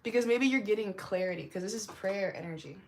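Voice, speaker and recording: A woman's voice: fast, a little hiss or hum, rough recording. Rambling young woman with a smoky voice. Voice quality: deep smoker's voice. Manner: rambling, heavy use of "like", laughs often. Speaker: tarot reader. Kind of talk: casual tarot reading vlog.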